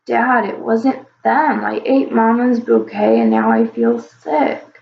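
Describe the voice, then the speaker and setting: A woman voicing a sick, sweet little boy dragon who talks slowly and weakly. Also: fairly high pitch, fairly even intonation, gravelly. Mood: feeble. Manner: slow, feeble and whiny. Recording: roomy, slightly dull recording.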